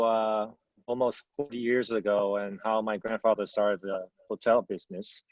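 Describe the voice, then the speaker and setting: A man with a mid-pitched voice answers interview questions in a casual, thoughtful way, pausing and picking his words. The recording is dry and slightly muffled, with little room sound. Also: dry recording. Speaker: interview guest, hotel executive. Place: indoors at a hotel.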